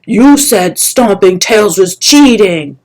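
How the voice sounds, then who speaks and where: A woman voicing a mild, peaceable young male dragon who pleads earnestly and gently in a storybook reading. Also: mid-range voice, animated, slow pace. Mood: pleading.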